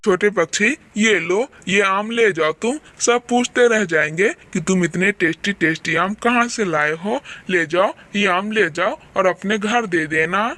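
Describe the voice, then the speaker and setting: A woman's voice: mid-range voice, a little slow, hardly any pauses, a bit bright, slight background noise, lightly acted. A woman voicing a lonely, kindly big talking tree in a children's cartoon, pleading and reassuring. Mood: generous. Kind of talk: children's cartoon story acting.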